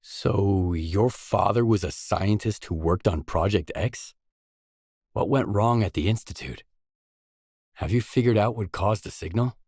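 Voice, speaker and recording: A wary stalker from a video game, a blunt loner with a low voice. He talks in terse, matter-of-fact questions and short replies. The recording is dry and close, with no room on it. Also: unhurried, a little mellow, husky, no room sound. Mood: guarded, businesslike. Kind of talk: video game dialogue lines.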